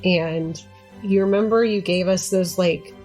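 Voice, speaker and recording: A woman's voice: lowish voice, fairly even intonation, unhurried, a little mellow, soft background music. A woman chatting casually as a player in a tabletop role-playing game. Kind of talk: casual chat.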